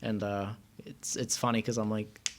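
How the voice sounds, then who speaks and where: A man's voice: lowish voice, level tone, normal speed, noisy background. A man from Iran chatting casually and telling anecdotes in a rambling, self-deprecating way. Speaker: podcast guest.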